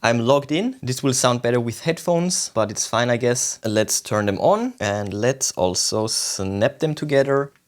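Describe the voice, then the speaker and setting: A man's voice: mid-range voice, lively intonation, a little slow, few pauses. A man talking casually and enthusiastically through a hands-on product unboxing and review.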